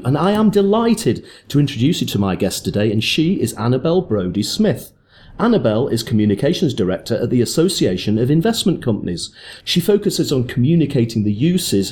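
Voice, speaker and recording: A man's voice: mid-range voice, a little mellow. A man hosting a podcast, reading an upbeat promotional intro and then chatting with his guest in her office. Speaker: podcast host. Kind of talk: scripted intro then interview chat.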